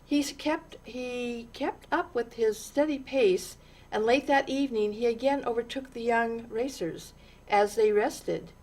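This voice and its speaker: A woman with a fairly high voice narrates a storybook for children. Her delivery is very slow and deliberate, shaped by dramatic pauses and expressive stresses on the words that matter. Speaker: storytime reader.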